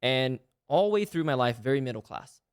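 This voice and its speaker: A man's voice: medium pitch, animated, clear, resonant tone. A man in his twenties from Malaysia, a guest in a casual interview, talking eagerly and often checking in with "right?". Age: 20s. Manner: fast, eager talk with frequent "like" and "right?".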